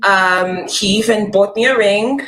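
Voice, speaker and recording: A woman's voice: mid-range voice, unhurried, background noise. A young woman from Congo calling in to a live dating show, talking earnestly and listing her situation. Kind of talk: casual call-in chat.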